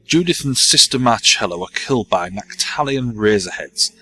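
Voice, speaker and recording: An actor playing an earnest, indignant male bishop with a husky voice. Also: lowish voice, expressive, normal speed, pushed and bright, poor audio. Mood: explaining. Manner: earnest, accusing, explanatory.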